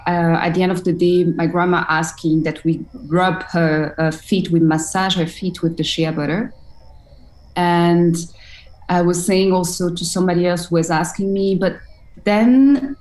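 A woman's voice: lowish voice, steady tone, unhurried, darkish tone. A woman in her thirties from Côte d'Ivoire, speaking as an interview guest with a thoughtful manner and many restarts. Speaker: guest, shea butter entrepreneur. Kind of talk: casual interview conversation. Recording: roomy echo.

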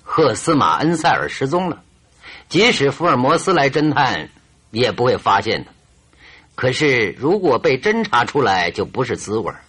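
An actor playing a shrewd, confident detective in a radio drama, reasoning aloud in a theatrical, emphatic and deliberate way. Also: male voice, medium pitch, sing-song, fairly bright tone, husky. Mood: confident, accusing. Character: shrewd, confident male detective, stern yet teasing. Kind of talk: Chinese radio drama acting, expository monologue.

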